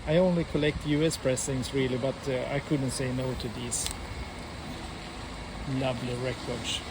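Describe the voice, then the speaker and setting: A man casually presenting to viewers as a record collector host, in a halting, unhurried way. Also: medium pitch, fairly even intonation, heavy background noise. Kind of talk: casual showcase vlog talk.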